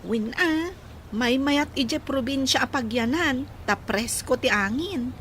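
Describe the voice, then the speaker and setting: Woman acting a high-pitched young newcomer. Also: a little slow, fairly bright tone, slight background noise. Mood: uneasy, observant. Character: observant young female newcomer from the province. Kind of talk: radio drama dialogue. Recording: prominent music behind dialogue.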